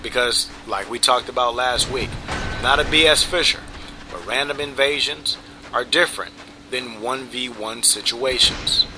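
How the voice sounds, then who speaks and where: A man giving relaxed, rambling commentary over his gameplay, full of "you know" and "right?" fillers. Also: fairly low pitch, noisy background. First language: English.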